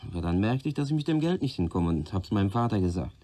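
An actor playing an earnest, uneasy young apprentice in a German radio play, explaining himself hesitantly and apologetically. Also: male voice, lowish voice, very animated, fast talker, dark tone. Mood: defensive, worried. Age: young adult.

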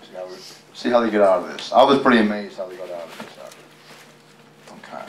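Low-voiced man in an echoey room. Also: quick pace, slight background noise. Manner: chatty, folksy, rambling asides to listeners. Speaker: guest storyteller, tribute performer. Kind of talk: reading a picture book aloud with casual ad-libbed commentary. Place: public library reading event. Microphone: handheld microphone. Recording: roomy, echoey, distant microphone pickup.

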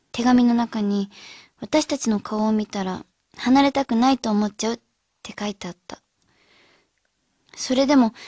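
A woman's voice: fairly high pitch, warm tone, no room sound, studio quality, lightly acted. A woman acting as an earnest teenage schoolgirl who leads her club friends in a radio drama.

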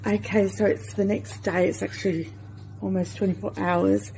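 A woman chats her way through a recipe in a home kitchen, filming on a handheld phone. Her pitch sits in the middle, her talk is loose and full of fillers, and she sometimes drops almost to a whisper. A faint hiss of kitchen ambience runs underneath. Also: expressive, normal speed. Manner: chatty, trailing fillers, sometimes soft and near-whispered. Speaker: cooking vlogger. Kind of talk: casual cooking commentary. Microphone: handheld phone camera.

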